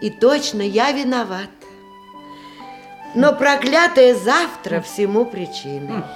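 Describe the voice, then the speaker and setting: An actress playing a gentle aunt in a radio period comedy, reading and pleading in a measured, expressive way. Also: female voice, a little high, faint music behind. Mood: reading aloud.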